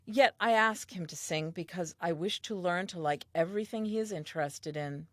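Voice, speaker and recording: A woman's voice: fairly low pitch, moderate pace, a bit bright, no echo, close to an everyday voice. An actress playing a tender, wondering first woman reading her diary in an audio play, reflective and earnest. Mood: fond, musing.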